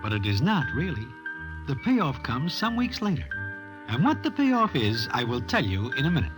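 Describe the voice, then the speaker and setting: A man's voice: medium pitch, wildly swooping pitch, moderate pace, loud music behind the voice. A man playing a wry, streetwise Broadway narrator in an old-time radio drama, using stilted formal slang. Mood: wry storytelling. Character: wry Broadway guy telling his gambling tale, streetwise and formal.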